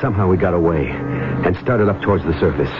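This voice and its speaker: A man's voice: deep voice, fairly quick, lightly acted. Earnest man acting, muffled old radio drama. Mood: dramatic, breathless recall. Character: shaken diver hero recounting his undersea ordeal. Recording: muffled old radio recording, loud music.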